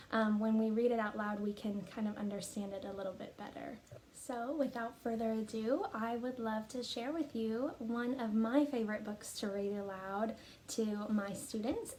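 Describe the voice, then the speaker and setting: A woman speaking as a teacher, explaining and reading aloud in an expressive, instructive way. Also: a little high, a little hiss or hum. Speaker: teacher and reading program fellow. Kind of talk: explanatory talk, then storybook read-aloud.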